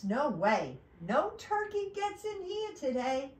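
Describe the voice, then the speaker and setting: A woman's voice: high voice, sing-song, slow, reverberant, far from the mic, pitched above the performer's own voice, heavily acted. A woman voicing a bossy, watchful Christmas elf from a storybook, scolding emphatically. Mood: indignant scolding. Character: bossy, vigilant Christmas elf turning intruders away.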